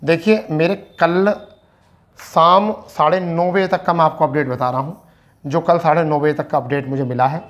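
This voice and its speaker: A man in his thirties with a slightly high voice gives an informal lecture as a coaching teacher. He restates important phrases to drive them home, speaking into a lapel mic in an indoor studio. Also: fairly even intonation, moderate pace. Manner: repeats key phrases for emphasis. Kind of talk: informal explanatory lecture to students. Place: indoor teaching studio in front of a display board.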